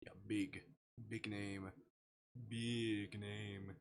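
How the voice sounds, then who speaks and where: A man's voice: on the low side, little pitch movement, drawn-out words. A man hosting a casual gaming livestream, rambling and often repeating words and phrases in singsong bursts. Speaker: livestream host.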